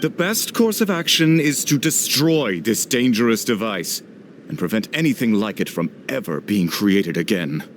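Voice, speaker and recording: A low, grave guardsman of deep faith from a fantasy game. He speaks formally, courteous yet unbending. Faint ambient noise sits behind him. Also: very animated. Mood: firm. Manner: formal, grave, measured wording. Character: solemn, devout male guard, courteous but rigid. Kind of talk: dramatic game cutscene acting.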